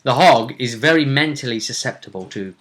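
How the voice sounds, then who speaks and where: A man co-hosting a casual recap of a tabletop game as game master, telling it in a measured storyteller's way with pauses. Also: medium pitch, animated, a bit bright.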